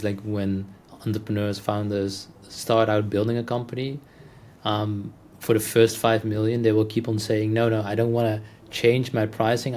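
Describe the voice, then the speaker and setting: A man's voice: fairly low pitch, a little mellow, a little hiss or hum. A man hosting a podcast interview in a casual conversational style, explaining points and checking for agreement.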